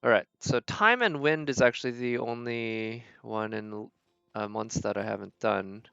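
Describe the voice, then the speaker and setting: A man's voice: fairly low pitch, a little slow, creaky, fairly bright tone, smooth, dry recording, muffled recording, faint music behind. A man giving casual, rambling gameplay commentary as a streamer, thinking aloud as he plays. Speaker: game streamer.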